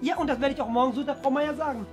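A man's voice: extremely high pitch, rapid-fire, music in the background. A young man acting a whiny, cheeky schoolboy son in a family web series, defensive and stammering. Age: 20s. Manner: defensive, stammering, childlike protesting. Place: small furnished rooms indoors.

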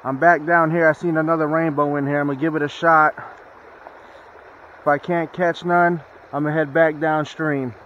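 A man's voice: on the high side, flat delivery, moderate pace. A man chatting casually to viewers as a fishing vlogger, recorded outdoors on a body-worn action camera. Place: outdoors at a creek and under a bridge. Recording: dull action-camera sound, noticeable outdoor noise.

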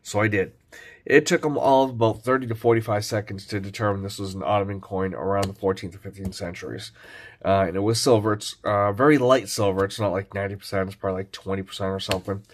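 A man's voice: on the low side, fast talker, slight background noise. Stuffy-voiced man showing his coins. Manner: relaxed, rambling, frequent fillers. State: stuffy from a cold. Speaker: coin collecting vlogger. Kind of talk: casual show-and-tell narration.

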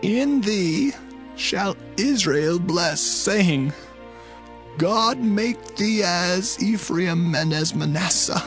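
A man's voice: high-pitched, lively intonation, drawn-out words, a bit bright, full character voice. An actor playing a dying aged patriarch in a dramatized scripture reading, solemn, fatherly and declamatory. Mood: solemn blessing. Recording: music bed under the voice.

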